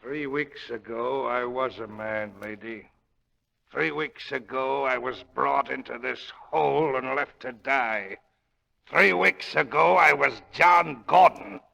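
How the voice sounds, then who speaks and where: An actor playing a dying, vengeful man with a creaky, strained voice in an old radio crime drama. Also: medium pitch, takes it slowly, pushed and bright, heavily acted. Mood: bitter, grim. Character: dying vengeful man crawling back from the dead. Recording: old radio, long tunnel reverb.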